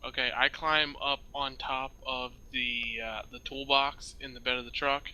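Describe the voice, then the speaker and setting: A man's voice: medium pitch, flat delivery, moderate pace, bright, forceful tone, poor audio. A man playing a role-play game, talking casually as he states his moves and questions. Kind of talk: casual game-table talk.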